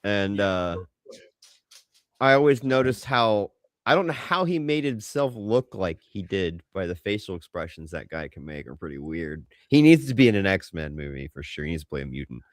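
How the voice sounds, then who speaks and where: A man with a fairly low voice bantering casually about pop culture as a podcast co-host. His intonation swings widely and he leans hard on his points, swearing freely. The sound is close and dry. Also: animated, normal speed, no echo. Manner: emphatic, casually profane.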